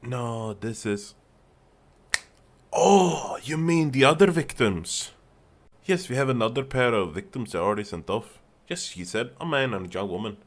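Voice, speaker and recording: A man's voice: mid-range voice, animated, measured pace. A man playing a world-weary, grave older detective in a fantasy audio drama. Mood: flustered. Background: music.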